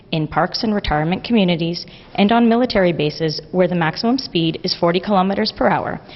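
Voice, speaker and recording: A woman, a press secretary reading a prepared statement in a formal, measured way in an office hallway. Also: on the low side. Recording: lo-fi with long reverb and faint hiss.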